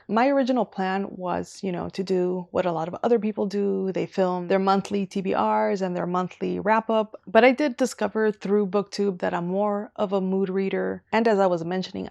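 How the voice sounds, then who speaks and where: A woman's voice: mid-range voice, vocal fry, a little mellow, no echo. A young woman from Southern California chatting casually on camera about her reading channel, rambling and going off on tangents. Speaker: booktuber. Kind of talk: casual vlog talk.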